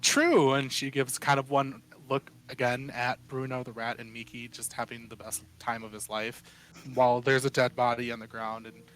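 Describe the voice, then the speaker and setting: A man takes part in a tabletop role-playing game. His voice is medium in pitch, and he casually narrates what his character does and says. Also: little pitch movement, moderate pace, a bit bright, soft background music, low-quality recording. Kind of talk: casual role-play narrated in third person.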